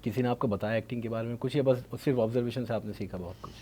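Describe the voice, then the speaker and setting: Mellow-voiced Indian man hosting an interview. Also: mid-range voice, rapid-fire, slightly rough. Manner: attentive, respectful, frequent short acknowledgements. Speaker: podcast host, interviewer. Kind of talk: conversational interview.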